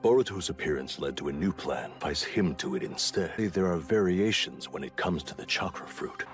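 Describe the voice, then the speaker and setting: A calculating scientist in an anime. The deep voice stays dry and unflappable. Each explanation comes out measured and nonchalant. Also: male voice, lively intonation, faint music behind. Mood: calm, calculating. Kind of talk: anime dub voice acting.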